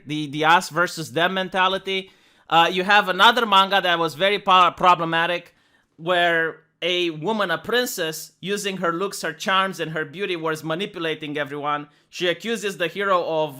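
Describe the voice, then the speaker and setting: A man's voice: sits high, bright, forceful tone. A man with a Romanian accent giving an earnest, emphatic commentary monologue, often restarting words and saying "like". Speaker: online commentator.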